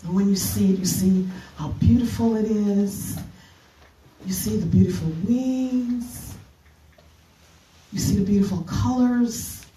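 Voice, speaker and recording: A middle-aged woman from the Bahamas, giving an earnest retreat keynote as a minister, halting with fillers and restarts. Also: medium pitch, warm tone. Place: conference room. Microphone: handheld mic. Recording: echoey room from a distance.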